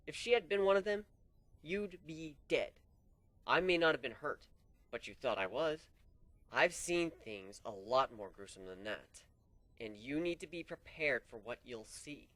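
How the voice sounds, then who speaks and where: A man voicing a calm, cruel male griffin ship captain who lectures in an unhurried, coolly amused way. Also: fairly high pitch, no echo. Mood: stern lecture.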